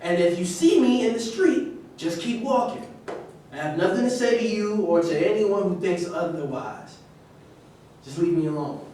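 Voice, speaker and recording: High-pitched bitter ex-athlete played by a man, echoey. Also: animated, normal speed, dark tone. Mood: bitter, defensive. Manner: defensive, curt. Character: aggrieved, bitter ex-athlete out of jail. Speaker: actor in a staged reading. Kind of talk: theatrical monologue, staged reading. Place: live staged reading in a roomy space. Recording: very echoey room, distant mic, faint noise.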